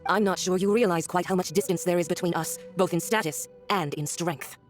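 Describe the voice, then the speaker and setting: Haughty, quick-talking villainess played by an actress. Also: female voice, fairly low pitch, faint music behind, natural voice. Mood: smug menace. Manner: condescending, theatrical, sneering. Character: haughty, mocking villainous woman diplomat with lethal power. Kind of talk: video game voice acting.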